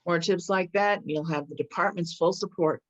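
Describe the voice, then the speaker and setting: A woman acts out a police chief in a script reading, courteous and humble with measured authority. The voice is a bit low and the sound is slightly dull. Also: natural voice. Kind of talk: table read of a screenplay. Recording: dull, lo-fi audio.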